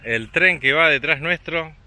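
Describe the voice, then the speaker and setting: A man's voice: a little high, unhurried, pushed and bright. A man from Argentina with an Argentine accent, narrating a family walking trip outdoors in a chatty, upbeat way and encouraging his young son. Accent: Argentine Spanish. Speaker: vlogger and father. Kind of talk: travel vlog narration while walking. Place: outdoors on a rural walking trail.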